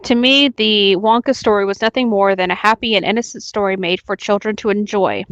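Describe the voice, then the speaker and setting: A woman's voice: medium pitch, steady tone, brisk, fairly bright tone, dry recording, muffled recording. A woman co-hosting a podcast, casually reading an internet horror story aloud with some banter. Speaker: podcast co-host.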